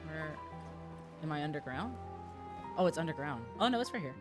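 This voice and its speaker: A woman's voice: deep voice, sing-song, normal speed, over music. A woman streamer casually chatting through a video game playthrough and reading dialogue aloud, with offhand, playful asides.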